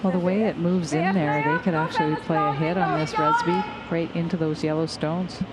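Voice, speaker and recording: A woman with a low voice analyses the play, laying out each shot option and what it leaves for the other side. loud background noise sits under her broadcast audio. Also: fairly even intonation, moderate pace. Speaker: colour commentator. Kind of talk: sports analysis.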